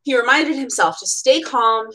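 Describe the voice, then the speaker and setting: Animated young American woman reading a storybook. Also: on the high side, moderate pace. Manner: expressive storytelling, chatty casual asides. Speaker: bookseller reading at a storytime event. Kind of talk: children's picture book read-aloud with casual asides. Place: small room at home.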